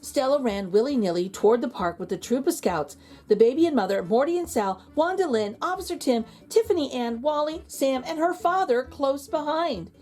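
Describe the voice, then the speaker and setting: A woman reading a children's storybook aloud in an expressive, emphatic way. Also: high-pitched, moderate pace. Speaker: children's book reader. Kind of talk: storybook read-aloud narration.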